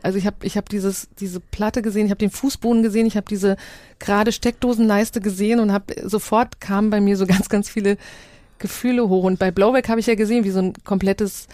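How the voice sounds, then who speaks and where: A woman's voice: medium pitch, steady tone, fairly quick, mellow, dry recording, studio quality. A woman from East Germany speaking reflectively as a radio journalist in a casual panel discussion, often reworking her sentences midway.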